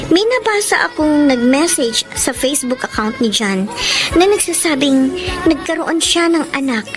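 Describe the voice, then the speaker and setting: A woman's voice: sits high, animated, moderate pace. A woman acting a heartbroken, earnest young student and girlfriend in a Tagalog radio drama. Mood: sad, reflective. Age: young adult. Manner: emotional, earnest delivery. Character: heartbroken young nursing student, loving, wounded, suspicious girlfriend. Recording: music bed under the voice.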